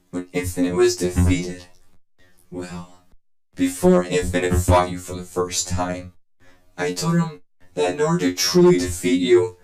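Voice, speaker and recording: A man's voice: medium pitch, a little mellow. A man playing a cold, arrogant villain in a game cutscene, speaking with condescending menace. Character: cold, arrogant male villain, scornful and self-assured. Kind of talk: fan game cutscene voice acting. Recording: echoey voice over game music.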